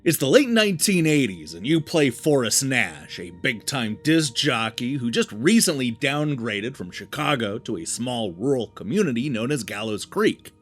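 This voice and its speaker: A man's voice: mid-range voice, sing-song, normal speed, few pauses. A man reading a scripted video game review as narration, with an emphatic, polished presenter's delivery. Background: background music.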